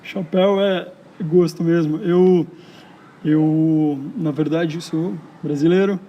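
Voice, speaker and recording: A man from São Paulo with a São Paulo accent, speaking as a poet interviewed in a park in a reflective, halting way full of hesitations. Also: fairly high pitch, steady tone, dark tone, dull audio. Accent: São Paulo Brazilian Portuguese. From São Paulo, Brazil. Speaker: poet and writer, interview guest. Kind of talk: casual interview conversation. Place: outdoors in a park.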